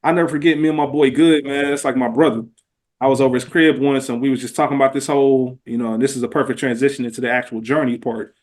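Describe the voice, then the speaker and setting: A man's voice: mid-range voice, fairly even intonation, fast, a little mellow. A man speaking as a podcast host in casual conversation, earnest and emphatic, often repeating phrases.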